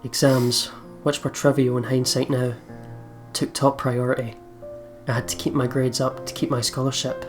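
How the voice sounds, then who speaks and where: A woman's voice: rumbling low, level tone, moderate pace. A woman hosting a let's play, reading a visual novel aloud in a dramatic, reflective manner. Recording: game music behind.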